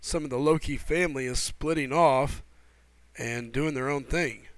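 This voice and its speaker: A man's voice: medium pitch, moderate pace, no echo. A man hosting a live game stream, chatting casually and reading viewers' messages aloud, his throat sore from a cold. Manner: laid-back, greets viewers by name as buddy and brother. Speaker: livestream host.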